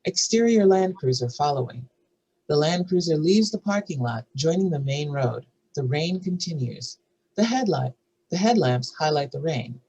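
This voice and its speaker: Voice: mid-range voice, expressive, normal speed, darkish tone, husky, rough recording. A narrator reading out stage directions and scene headings in a scripted table read.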